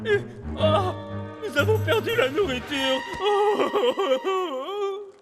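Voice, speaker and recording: A man voicing an excitable, dreamy cartoon animal in a family film dub, stammering and pleading as he gushes. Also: extremely high pitch, extremely slow, in a large hall, loud music behind the voice, heavily acted. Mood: gushing excitement.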